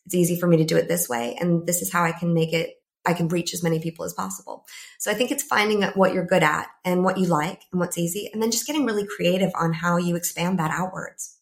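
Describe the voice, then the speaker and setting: A slightly croaky woman in her thirties speaks in a low-pitched voice. She talks as a podcast guest, pausing and reaching for words with easy fillers. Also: fairly even intonation. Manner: thoughtful, many "kind of" fillers. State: croaky, a bit ill. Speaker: podcast guest, climate psychologist. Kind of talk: casual interview talk.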